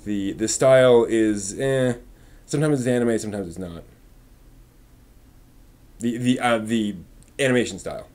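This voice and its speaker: A man's voice: lowish voice, moderate pace, pauses often, darkish tone. A man hosting a casual live stream, rambling and often muttering to himself as he works.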